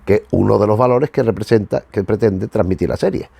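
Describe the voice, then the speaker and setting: Gravelly middle-aged man from Spain, rambling. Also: on the low side, fairly quick, dark tone, no room sound. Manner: digressive, hesitant, often repeats and rephrases. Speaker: host of a retro television review. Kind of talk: casual, rambling commentary.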